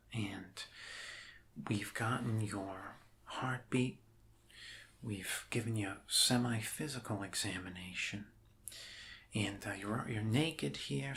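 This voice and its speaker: A man with an American accent playing a friendly, rambling doctor in a soft-spoken ASMR roleplay, halting, reassuring and dryly jokey. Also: medium pitch, vocal fry, slight background noise, exaggerated voice. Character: friendly, rambling human doctor welcoming an alien to Earth.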